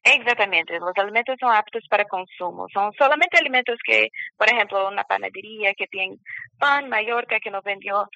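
A woman from Brazil with a Brazilian Portuguese accent, a phone guest speaking halting Spanish as a learner, stopping to search for words. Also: medium pitch, creaky, sharp tone, dry recording, highs cut off. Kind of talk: phone interview. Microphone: phone line.

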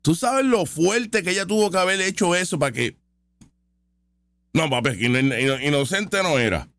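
A man rants sarcastically as he comments live on clips. His pitch sits fairly high and jumps around a lot. The sound is dry and close, with no room around it. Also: lively intonation, moderate pace, fairly bright tone, no echo. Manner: sarcastic, heated and argumentative. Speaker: online commentary host.

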